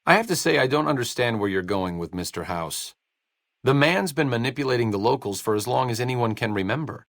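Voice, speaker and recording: An actor playing a sarcastic, idealistic wasteland doctor in a video game, with an American accent and a dry, articulate manner. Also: male voice, medium pitch, animated, a little fast, a bit bright, dry recording. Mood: wry. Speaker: video game companion character. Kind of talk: video game voice acting, conversational dialogue.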